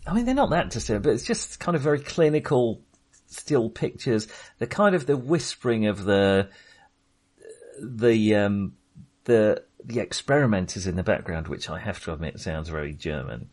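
Low-pitched man, rambling film chat. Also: lively intonation, normal speed, a little mellow. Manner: rambling, searching for words, self-interrupting. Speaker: film podcast co-host. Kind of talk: casual film discussion.

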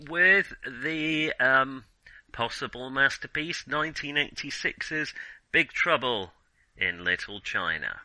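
A man's voice: medium pitch, animated, takes it slowly, bright, forceful tone, no echo, poor audio. A man hosting a podcast, chatting casually about films in a wry, self-deprecating, jokey way. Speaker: podcast host. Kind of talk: casual film discussion with playful scripted intro.

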